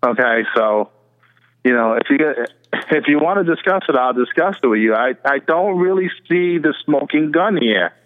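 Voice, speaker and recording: A man's voice: a little high, lively intonation, moderate pace. An American man calling in to talk radio over the phone, casually debating politics in a skeptical, dismissive way. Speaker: phone-in caller. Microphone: telephone. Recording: narrow, tinny phone line.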